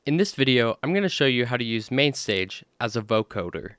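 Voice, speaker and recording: A man presents an instructional software walkthrough in a clean, crisp voice. His pitch sits in the middle range and the sound is close and dry, with no room ring. He explains things plainly, one step at a time. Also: expressive, brisk, dry recording. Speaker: tutorial host.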